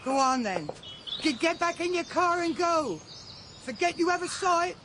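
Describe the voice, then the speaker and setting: Wary rustic fortune-teller, foreboding, plain-spoken, radio play, outdoor bird noise. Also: female voice, very high voice, lively intonation, moderate pace. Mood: sly, urgent. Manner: blunt, foreboding, non-standard grammar. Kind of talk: radio drama acting. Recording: outdoor ambience with birds behind.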